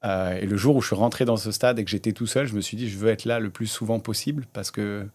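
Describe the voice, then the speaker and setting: A man in his thirties, a startup founder, telling his story in a relaxed way with many hesitations in a casual interview. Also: on the low side, fast. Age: 30s.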